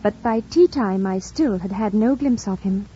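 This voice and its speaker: An actress playing an earnest, composed young governess in an old radio drama, speaking with a refined British accent and careful, formal phrasing. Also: female voice, mid-range voice, moderate pace, dark tone, lightly acted. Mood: reflective. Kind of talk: 1940s radio drama narration and acting. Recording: dull old radio recording. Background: music.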